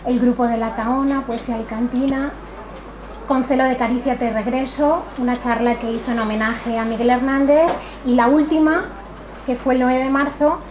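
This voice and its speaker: A woman's voice: sits high, fairly even intonation, moderate pace. A woman, the café's host, thanking her audience in a grateful, conversational and slightly nervous way. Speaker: café owner and event host. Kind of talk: warm welcome speech at literary event. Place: small cultural café with audience. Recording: noisy room ambience.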